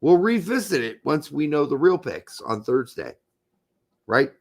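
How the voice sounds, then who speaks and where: A man co-hosting a soccer podcast, emphatic and argumentative, hoarse from losing his voice. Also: on the high side, very animated, a little slow. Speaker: podcast co-host. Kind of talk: casual soccer talk.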